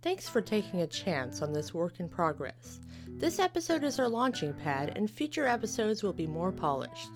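Animated woman reading out credits. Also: mid-range voice, moderate pace, soft background music. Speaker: writer and director reading credits. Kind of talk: scripted credits announcement.

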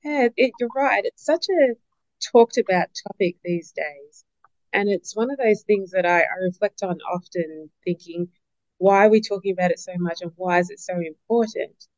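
A woman's voice: medium pitch, moderate pace, darkish tone, dry recording. Reflective woman guest, dry, muffled. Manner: reflective, repeats words when starting a point, asks herself questions. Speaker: business partner and podcast guest. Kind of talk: interview answers.

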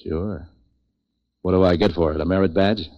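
A hard-boiled man for hire out of an old radio noir. His voice sits very low and he talks in clipped, deadpan wisecracks, street-wise and tough. The recording is dull 1940s lo-fi. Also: normal speed. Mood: cool, needling. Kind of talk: 1940s radio noir first-person narration and dialogue.

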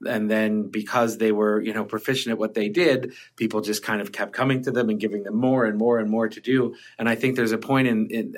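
A man hosting a casual workplace podcast, talking in long, rambling questions with frequent restarts. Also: lowish voice. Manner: rambling, restarts sentences often. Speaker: podcast host.

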